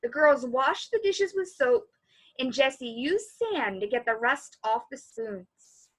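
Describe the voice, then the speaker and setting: A woman reading a children's story aloud as a library storyteller, in an expressive, animated way. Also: high voice. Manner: animated, pausing to comment on the story.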